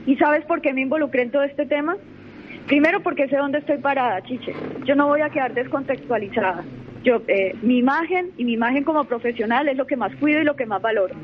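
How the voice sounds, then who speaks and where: A woman's voice: sits high, a little fast. A young Colombian woman, a lawyer, talking in an assertive, self-assured way as a guest on a radio interview, sometimes repeating words while she gathers her thoughts. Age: young adult. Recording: muffled radio audio with faint noise.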